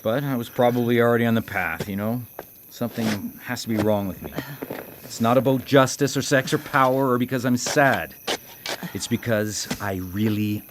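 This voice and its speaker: An actor in a radio drama playing a guilt-ridden male killer, halting as he confesses and menacing in manner. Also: mid-range voice, background noise, lightly acted. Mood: resigned confession. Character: brooding guilt-ridden male serial killer, haunted by his mother. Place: live radio drama broadcast.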